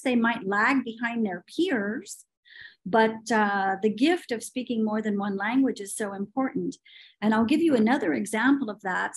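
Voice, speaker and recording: A woman's voice: mid-range voice, slightly rough. A middle-aged woman talking about language teaching in a podcast conversation, opinionated and telling stories, with frequent fillers.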